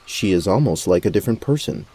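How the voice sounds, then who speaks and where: A man with a lowish, dark and mellow voice narrates a fantasy tale. His delivery is measured and descriptive, like the storyteller of a radio play. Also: lively intonation, husky.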